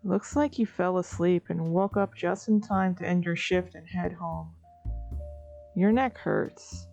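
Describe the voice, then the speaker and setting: A woman's voice: lowish voice, steady tone, measured pace, gravelly. A woman narrating a comic dub in the second person, with a wry, weary, conversational delivery. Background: soft music.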